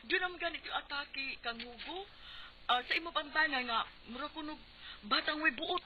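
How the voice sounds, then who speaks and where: A woman's voice: sits high, normal speed, natural voice. A woman with a Cebuano accent playing a gossiping, teasing neighbour in a comic radio drama. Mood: gossipy, shocked. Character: gossiping, teasing female neighbour relaying insults. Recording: dull radio recording, faint noise.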